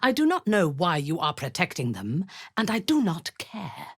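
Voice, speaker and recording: An actress voicing a ruthless drow woman commander who leads zealous raids, speaking in a cold, commanding voice with a husky edge that often sinks into a whisper. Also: on the low side, huge pitch swings, moderate pace, no room sound, studio quality, heavily acted. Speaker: game character. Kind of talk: video game voice lines.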